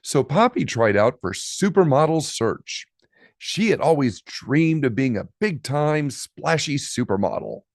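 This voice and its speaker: Slow, animated male storybook reader. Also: mid-range voice, no room sound, studio quality. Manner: dramatic pauses, drawn-out key words. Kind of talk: expressive children's read-aloud narration.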